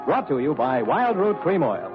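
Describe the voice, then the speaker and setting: A man's voice: a little high, big pitch swings, normal speed. Emphatic vintage radio announcer, man. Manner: polished, emphatic salesman delivery. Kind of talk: scripted commercial and credits announcing. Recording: muffled vintage radio broadcast with faint music.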